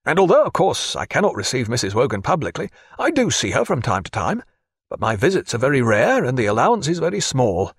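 A husky, high-pitched merchant frets and fusses in a voice that leaps up and down. He pleads and grumbles by turns, easily worked up, as a narrator puts him on. The recording is close and dry. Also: brisk, no echo, full character voice. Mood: anxious. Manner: fussy, excitable, pleading. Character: fretful middle-aged Boston merchant man. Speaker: former loyalist and merchant.

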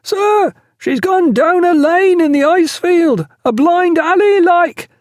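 A man voicing a rough, gleeful whaleman on lookout, hailing in coarse sailor talk in a sea story. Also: high voice, normal speed, no echo, clean studio sound, pitched above the performer's own voice. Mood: crowing glee.

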